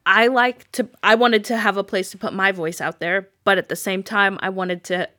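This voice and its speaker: A woman hosts a podcast in a casual, supportive tone, often backing her guest up with short encouraging replies. Her voice is bright and sharp, recorded dry and close. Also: mid-range voice, steady tone, normal speed, dry recording. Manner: warm, supportive back-channel replies. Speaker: podcast host. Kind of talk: casual interview chat.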